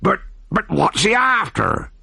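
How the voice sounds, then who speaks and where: A man's voice: a little high, big pitch swings, unhurried, gravelly, sharp tone, dry recording, clean studio sound, pitched above the performer's own voice, exaggerated voice. A man voicing a greedy pirate captain who blusters, gloats and pleads frantically. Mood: frantic.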